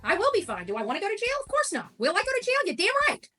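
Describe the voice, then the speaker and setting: A woman's voice: very high-pitched, huge pitch swings, rapid-fire, a bit bright, soft background music. A woman talking in an informal livestream monologue, defiant, emphatic and combative. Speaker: livestreamer covering a case.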